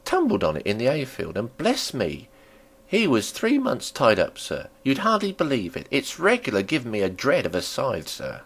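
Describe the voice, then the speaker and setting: A man's voice: mid-range voice, wildly swooping pitch, moderate pace, slightly rough, voice pushed up, exaggerated voice. A man voicing a chatty, nosy, deferential country landlady in a storybook reading, with folksy rustic talk that rambles and fusses.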